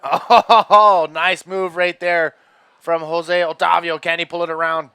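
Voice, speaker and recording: Male color commentator, bright and crisp tone, energetic calls. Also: fairly high pitch, no room sound. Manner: upbeat, quick to laugh, excited calls. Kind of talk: live sports commentary.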